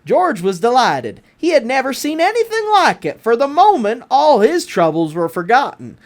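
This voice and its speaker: A woman reads a picture book aloud for children. Her voice sits fairly high and swings widely up and down. She narrates expressively, stretching out words for emphasis. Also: big pitch swings, normal speed. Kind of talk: animated read-aloud bedtime story narration.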